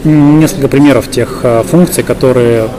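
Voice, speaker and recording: A man with a mid-range voice puts technical questions as a podcast interviewer. He phrases them hesitantly, reworking them as he speaks. A busy crowd is clearly heard behind him. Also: medium pitch, moderate pace, rough recording. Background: busy conference crowd.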